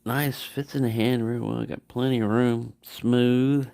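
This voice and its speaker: A man's voice: medium pitch, steady tone, measured pace, gravelly, warm tone, no room sound. A man giving a casual, unscripted product review, rambling and correcting himself as he goes. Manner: rambling, self-correcting, mumbling asides. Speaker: knife reviewer.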